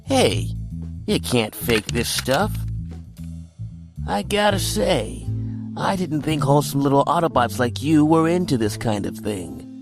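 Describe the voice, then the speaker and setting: A smug, sleazy robot dealer from a cartoon, voiced by a man, gravelly and creaky, with a sly delivery that swings up and down. Music runs beneath. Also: on the high side, big pitch swings, measured pace, full character voice. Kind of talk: animated sci-fi motion comic acting.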